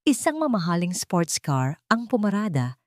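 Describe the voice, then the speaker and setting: Woman narrator telling a love drama, kinda low voice, dry close sound. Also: big pitch swings, normal speed, a little mellow, dry recording. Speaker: story narrator. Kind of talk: scripted dramatic romance narration.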